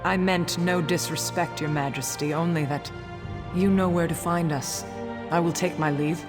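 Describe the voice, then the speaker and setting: A man acts out an insistent, respectful warrior in a video game. The voice is fairly high for a man and formal in its address. It rings with long hall reverb while music plays clearly behind it. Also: steady tone, normal speed, close to an everyday voice. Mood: apologetic, firm. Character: earnest, deferential but insistent dishonored desert warrior. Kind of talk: scripted video game dialogue.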